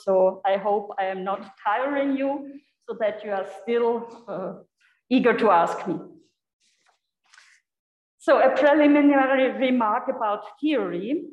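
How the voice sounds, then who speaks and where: A woman with a German accent gives an unscripted lecture in her second language. Her voice sits slightly high, and she halts often, reaching for words with little fillers. The room around her is echoey. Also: highs cut off. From Europe. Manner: halting, searching for words, frequent fillers. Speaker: guest lecturer. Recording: echoey hall.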